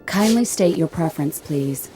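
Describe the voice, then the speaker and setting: A woman's voice: low-pitched, expressive, moderate pace, over music. Formal, emotionless female ship computer. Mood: polite, flat. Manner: formal, clipped, emotionless phrasing. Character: polite, insistent female ship computer, calm and officious. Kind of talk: radio drama acting.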